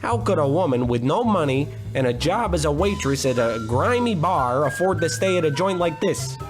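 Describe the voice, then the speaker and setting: An actor playing a wisecracking, cheap private detective with a deadpan, hard-boiled delivery in 1940s radio noir parody. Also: male voice, fairly high pitch, moderate pace, music in the background, lightly acted. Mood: narrating. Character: wisecracking, down-on-his-luck male private detective.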